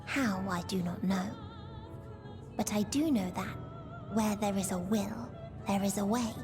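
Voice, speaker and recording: An actress playing a serene, wise and benevolent guide in a video game, with gentle, measured, formal delivery. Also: female voice, mid-range voice, lightly acted. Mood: hopeful, tender. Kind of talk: dramatic video game voice acting. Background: music.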